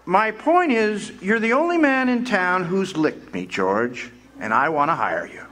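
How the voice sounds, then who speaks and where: A man playing a scheming, pompous old tycoon in an amateur stage radio drama, speaking condescendingly with drawn-out pauses. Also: high voice, sing-song, fairly bright tone, full character voice. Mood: persuasive.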